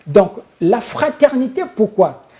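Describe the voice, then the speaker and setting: A man answers a TV interviewer as if he were preaching from a pulpit. His tone is dark and mellow, and he speaks solemnly and with emphasis. He often pauses on drawn-out fillers before pressing on. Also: high-pitched, very animated. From Congo-Brazzaville. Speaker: spiritual leader and TV guest. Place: TV studio.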